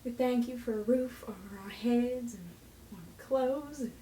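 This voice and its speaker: A woman's voice: on the high side, unhurried, dark tone, close to an everyday voice. A woman playing an earnest member of a struggling 1940s family in a radio play. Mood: strained, grave. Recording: echoey room, distant mic, faint hiss.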